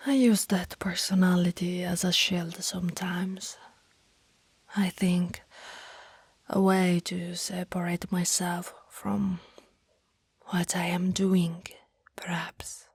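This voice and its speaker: A woman playing a centuries-old vampire in an ASMR roleplay, formal and tender, speaking softly and breathily, often in a whisper. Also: lowish voice, level tone, slow, long pauses, no echo, heavily acted. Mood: remorseful, tender. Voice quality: soft, breathy. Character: centuries-old female vampire, lovestruck, remorseful, hungry, formal. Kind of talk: ASMR roleplay, slow intimate monologue.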